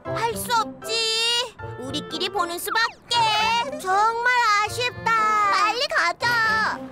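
A woman voicing an eager, caring child bus in a children's animated series. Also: very high-pitched, slow pace. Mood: coaxing. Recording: loud children's music behind the voice. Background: children's cartoon music.

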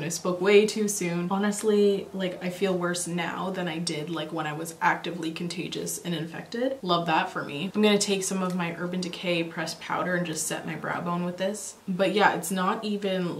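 Chatty woman, slightly raspy, roomy sound. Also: lowish voice, slight background noise. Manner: rambling, chatty, lots of fillers. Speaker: beauty vlogger. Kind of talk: casual makeup tutorial chat. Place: small home filming room, indoors.

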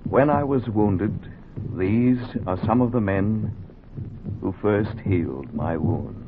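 Solemn, slow-speaking human heart. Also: male voice, on the low side. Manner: slow, grave, poetic declamation. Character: solemn talking human heart, once wounded. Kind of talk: 1940s radio drama narration. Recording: very noisy, tinny 1940s radio recording.

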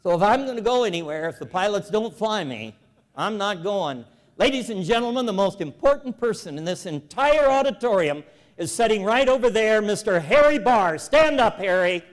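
A man in his seventies giving a lively, folksy, emphatic storytelling speech to a church convention, walking the floor with a lapel mic. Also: high-pitched, normal speed, in a large hall. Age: 70s. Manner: animated, folksy, emphatic repetition. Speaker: convention speaker. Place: large convention hall.

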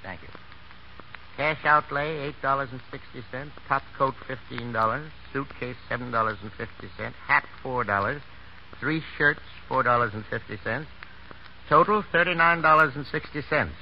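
An actor playing a wealthy, patient middle-aged father in a measured, questioning 1930s American radio drama style. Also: medium pitch, natural voice. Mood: businesslike, puzzled. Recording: muffled, noisy 1939 radio broadcast.

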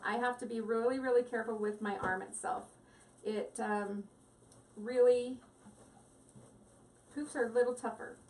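A woman's voice: a little high, steady tone, unhurried, long pauses, darkish tone, recorded from a distance, low-quality recording. A woman hosting a live crafting stream, chatting with viewers in a rambling, giggly way at her craft table at home. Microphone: device mic set back from the table.

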